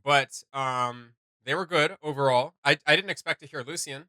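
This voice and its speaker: A man with a fairly high, very bright voice talks casually as a reaction video host. He rambles and pauses to hunt for the right word. The sound is dry, with little room in it. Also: clean tone, dry recording. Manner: hesitant, rambling, searching for words. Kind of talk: casual chat reacting to game voice lines.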